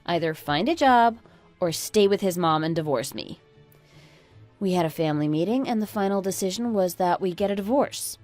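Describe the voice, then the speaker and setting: A woman playing a fed-up, sharp-tongued married woman in a radio drama, speaking in an emphatic, scornful, lecturing manner. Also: lowish voice, lively intonation, normal speed, faint music behind, close to an everyday voice. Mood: indignant, venting. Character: fed-up, sharp-tongued oldest daughter-in-law, a divorcing breadwinner. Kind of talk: scripted radio drama acting.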